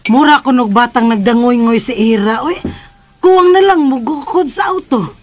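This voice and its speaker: An actress playing a gossipy, sharp-tongued middle-aged mother in a radio drama, scolding and sardonic, with a Filipino accent. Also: on the high side, normal speed, music in the background, lightly acted.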